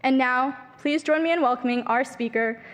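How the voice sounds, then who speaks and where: A woman's voice: high voice, normal speed. Woman host introducing the guest speaker. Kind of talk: formal introduction. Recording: long reverb of a lecture hall.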